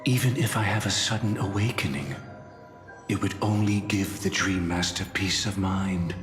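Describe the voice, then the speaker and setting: An actor playing a solemn, brooding man in a fantasy video game, speaking with grave, deliberate theatrical delivery. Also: low-pitched, fairly bright tone. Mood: calm, serious. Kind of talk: dramatic video game voice acting. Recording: echoey hall reverb, music behind.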